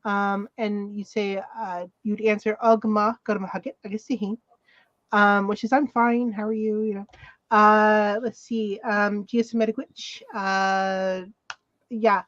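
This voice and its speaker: A woman chatting casually on a podcast, telling things haltingly with pauses mid-sentence. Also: mid-range voice, flat delivery, fairly bright tone, no room sound, muffled recording. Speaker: talk show panelist.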